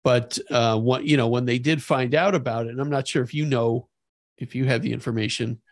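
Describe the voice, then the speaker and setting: A man co-hosting a podcast, discussing history conversationally in a halting, repetitive way. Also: mid-range voice, steady tone, no echo, studio quality. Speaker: podcast co-host.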